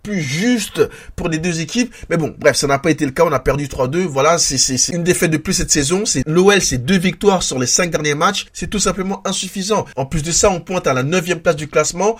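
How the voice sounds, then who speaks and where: A man speaks with a blocked nose and a sore throat from a cold. His voice sits a bit high for a man, and he talks frankly, repeating words and restarting as he goes. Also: a little fast, few pauses, slightly rough. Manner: frank, repetitive restarts, stammers when tired. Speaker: football podcast host. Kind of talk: solo match debrief podcast.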